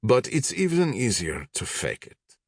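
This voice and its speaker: A man voicing a cold, arrogant middle-aged Polish army major in an audiobook, lecturing with calm menace. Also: mid-range voice, measured pace, gravelly, husky, no echo, clean studio sound, voice pushed up, heavily acted. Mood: cold, smug.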